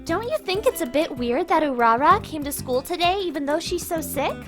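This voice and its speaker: A woman voicing a perky, earnest, excitable teenage schoolgirl in an anime fan dub. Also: high-pitched, brisk, music in the background. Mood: concerned.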